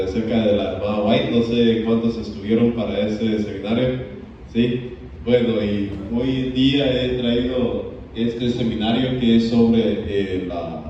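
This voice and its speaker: A man's voice: fairly low pitch, warm tone, slight background noise. Man lecturing in an echoey church. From Belize. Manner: frequent "eh" hesitations. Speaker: theology student teaching a church seminar. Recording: echoey church from a distant, muffled mic.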